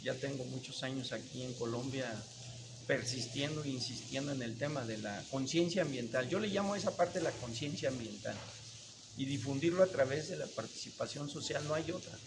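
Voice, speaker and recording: A man's voice: medium pitch, brisk, noisy background. A man with a Mexican accent, answering interview questions in earnest, repeating key words for emphasis. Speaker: interview guest, businessman. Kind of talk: casual interview.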